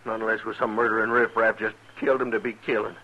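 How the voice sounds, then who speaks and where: A man's voice: lowish voice, flat delivery, fast talker, natural voice. Man acting an insistent rustic western frontiersman. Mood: stunned grief. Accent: rural American western. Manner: folksy, insistent. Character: indignant, grieving rustic frontier man, hot-tempered. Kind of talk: 1950s radio acting. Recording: muffled old radio recording.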